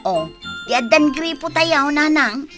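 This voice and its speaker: An actress playing a practical, caring, nagging family breadwinner in a radio drama, chiding and insistent. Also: female voice, high-pitched, expressive, a little slow, loud music behind the voice. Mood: coaxing. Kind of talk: Ilocano radio drama acting.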